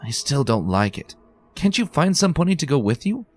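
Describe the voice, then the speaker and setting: A man's voice: on the high side, sing-song, normal speed, faint music behind, pitched above the performer's own voice. A man voicing a worried young male baby dragon in a fan reading, protective and pleading.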